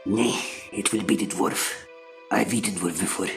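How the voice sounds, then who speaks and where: A man voicing a gruff, disdainful goblin jailer with a dismissive way of talking. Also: low voice, moderate pace, soft background music, voice pushed down. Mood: disgusted.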